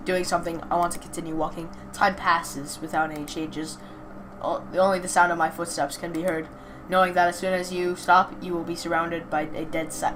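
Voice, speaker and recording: A woman with a slightly low voice reads visual novel text aloud for a let's play. She trips over words, repeats phrases and drops in casual remarks, with light noise on the recording. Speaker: video host playing a visual novel.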